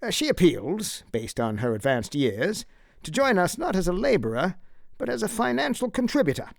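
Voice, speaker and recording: An earnest male leader, voiced by a narrator, chairs with formal courtesy and deliberate phrasing. His voice rises and falls widely with a creaky edge, in a dry audiobook recording. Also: sits high, big pitch swings, no echo, pitched above the performer's own voice, full character voice. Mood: explanatory. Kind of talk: audiobook character acting.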